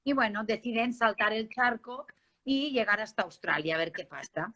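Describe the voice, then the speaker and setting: A woman with a Barcelona Spanish accent tells her own story in a high, very bright voice. She speaks informally, like an interview guest, often checking that the listener follows. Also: normal speed. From Spain, Barcelona. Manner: conversational, seeks agreement with tag questions.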